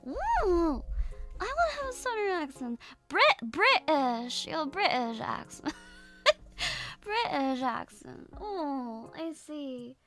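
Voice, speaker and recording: Bright high-voiced woman streamer. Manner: chatty, laughs often, swears casually. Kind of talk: casual livestream chat with viewers.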